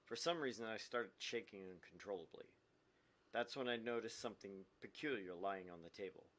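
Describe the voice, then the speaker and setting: A man's voice: fairly low pitch, brisk, fairly bright tone, lightly acted. A man voicing an anguished, obsessive, vindictive young man in a dramatic read-aloud of a visual novel.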